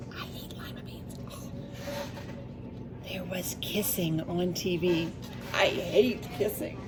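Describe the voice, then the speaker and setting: A woman's voice: medium pitch, noisy background. A woman reading a picture book aloud to young children, expressively, with asides and questions to her listeners.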